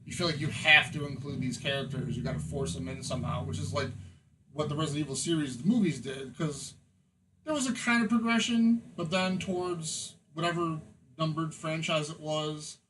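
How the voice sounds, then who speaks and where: A man's voice: a little high, moderate pace, background noise. A man chatting informally as a podcast panelist, giving his opinions in a casual, conversational way. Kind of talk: casual opinionated film and game chat.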